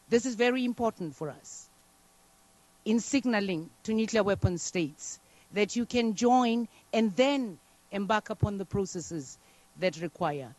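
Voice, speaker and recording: High-voiced delegate, man. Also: darkish tone, low-quality recording. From South Africa. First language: Xhosa. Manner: measured, deliberate, occasional fillers. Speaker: diplomatic delegate. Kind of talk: formal conference statement.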